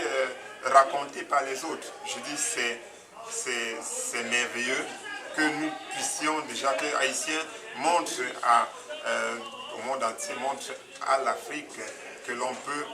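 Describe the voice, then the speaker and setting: A man from Cameroon, giving a spontaneous, earnest public comment as an audience member, hesitant, with many fillers and restarts. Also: mid-range voice. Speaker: audience member praising a documentary. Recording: distant mic with crowd noise.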